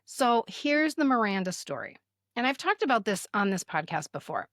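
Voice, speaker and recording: A woman's voice: medium pitch, very animated, normal speed, a bit bright, no echo, studio quality. A woman in her fifties speaking as a podcast host, telling stories candidly in casual chat and sometimes reading aloud. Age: 50s. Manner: candid, chatty storytelling.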